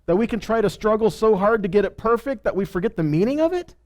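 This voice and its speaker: Animated high-voiced man preaching informally. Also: fast talker. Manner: emphatic, rhetorical questions and check-ins to listeners. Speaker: preacher and Torah teacher. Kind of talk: informal sermon teaching.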